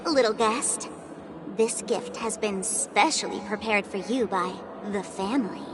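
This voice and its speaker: An actress voicing a smooth, teasing hostess in a video game, sly and persuasive in a playful way. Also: female voice, fairly high pitch. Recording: game audio with music.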